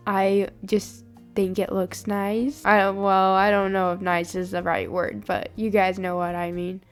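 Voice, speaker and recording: A young woman, hoarse from a sinus infection, chatting upbeat in a voiceover about her drawing. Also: medium pitch. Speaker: art channel host. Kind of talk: voiceover over drawing footage.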